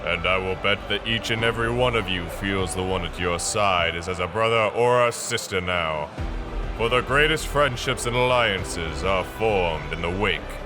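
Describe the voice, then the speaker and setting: Stern, proud war leader played by a male narrator, solemn oratory, lowish voice over music. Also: moderate pace, close to an everyday voice. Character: proud, stern grandmaster addressing his troops. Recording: music behind the voice.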